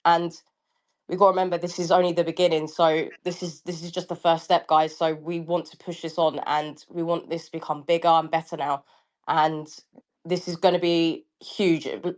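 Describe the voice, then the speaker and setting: A woman with a slightly croaky voice speaking enthusiastically and at length in a casual online fan chat. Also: deep voice. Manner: enthusiastic, rambling. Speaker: fan group organiser.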